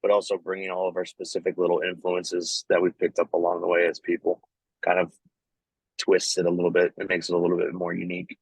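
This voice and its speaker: A man who plays in a band, answering an interview in a relaxed, casual way with lots of "like" and "you know". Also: deep voice, fast, husky, dry recording, low-quality recording. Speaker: band member guest. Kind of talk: casual chat.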